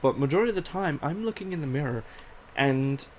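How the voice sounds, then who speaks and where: A man's voice: a little high, lively intonation, fairly quick, slight background noise. A young man from Canada who speaks with a mix of British and Canadian accents, hosting a casual, rambling, self-deprecating personal monologue. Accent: British mixed with Canadian. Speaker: podcast host.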